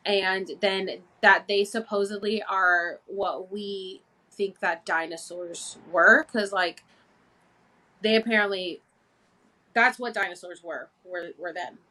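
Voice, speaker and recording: Bright-voiced woman host, hesitant asking. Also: lowish voice, rough recording. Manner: hesitant questions padded with "um". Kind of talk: casual livestream chat.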